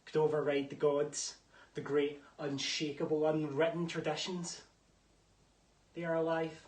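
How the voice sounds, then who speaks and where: A young man performing classical theatre monologues in a declamatory style, swinging between loud outbursts and hushed whispers. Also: on the high side. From Scotland. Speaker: drama student performing monologues. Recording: distant mic with faint room noise.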